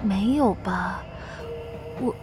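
A woman's voice: mid-range voice, extremely slow, over music. An actress playing a vague, hesitant young female passenger in an audio horror drama. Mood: hesitant, polite.

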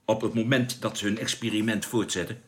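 An actor playing an anxious but commanding spaceship captain in a science fiction radio drama, giving urgent, clipped orders. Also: male voice, fairly low pitch, steady tone, a little fast, lightly acted. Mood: tense, commanding.

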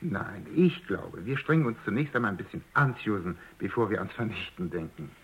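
A man's voice: lowish voice, animated, fairly quick, husky, low-quality recording. A man playing a diplomatic, evasive company executive who placates and obliges in a German radio drama, on a thin radio recording. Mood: cautious, reasoning.

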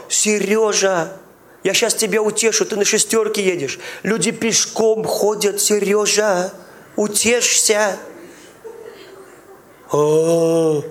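High-voiced man preaching. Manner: lively preaching with questions to the congregation; speaks in tongues. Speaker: pastor. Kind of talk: sermon.

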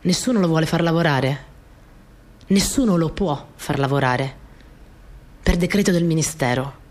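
A woman's voice: sits low, normal speed, darkish tone. An actress playing a young peasant girl in a radio monologue, telling her story in a naive, colloquial way, repeating phrases for emphasis. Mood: tender, grave. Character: young Italian peasant girl, earnest, plainspoken, stubborn storyteller. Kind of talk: dramatic monologue in a radio play. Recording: music behind the voice.

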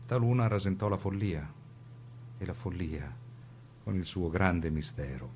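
A man giving measured, grave scripted narration in the manner of a radio documentary. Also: sits low, darkish tone, a little hiss or hum.

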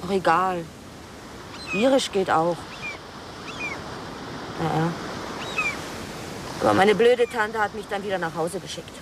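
A woman's voice: fairly low pitch, slow, long pauses. An actress playing a defiant, self-dramatizing teenage girl in a radio crime drama, talking bluntly and gossipily. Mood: sullen, gossipy. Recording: noisy track with sea-like ambience.

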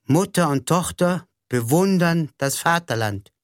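An actor playing a formal, deliberate announcer of absurd mini dramas in a radio play. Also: male voice, a little high, lively intonation, no echo, clean studio sound. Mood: solemn.

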